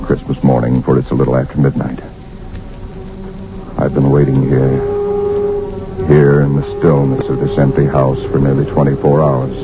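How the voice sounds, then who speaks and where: An actor playing a haunted man who waits alone for his doom, narrating in slow, dread-filled old-time radio style. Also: a bass voice. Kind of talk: 1940s radio drama acting. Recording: dull lo-fi old radio recording with music.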